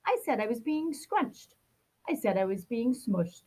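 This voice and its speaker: A woman's voice: on the high side, big pitch swings, a little slow, darkish tone, slightly rough. A woman reading a children's picture book aloud, expressively acting out a grumpy child's complaints. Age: adult. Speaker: storybook reader.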